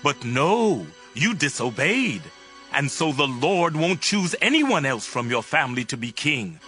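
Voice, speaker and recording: A man's voice: on the high side, very animated, unhurried, bright, forceful tone, faint music behind, exaggerated voice. A man playing a stern, grave old prophet delivering emphatic rebukes in a dramatized audio Bible. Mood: stern anger.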